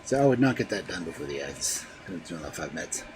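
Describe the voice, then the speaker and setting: A man hosting a live stream, reading fairy tales aloud in an animated storytelling style with casual asides. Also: low voice, fast talker, background noise.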